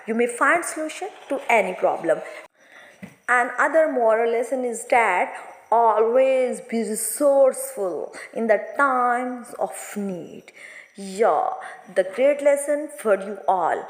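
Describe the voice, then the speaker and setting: A reverberant, echo-heavy recording of a woman giving a lesson. Her voice sits a little high. She explains a moral story for students in hesitant phrases with non-native English grammar. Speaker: teacher. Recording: echoey room with long reverb.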